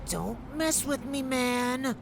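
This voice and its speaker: An actress playing a nervy, threatening street mugger in an audio drama, edgy and stammering. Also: female voice, sits high, lively intonation, slow, creaky, background noise, heavily acted. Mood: menacing. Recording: music behind the voice.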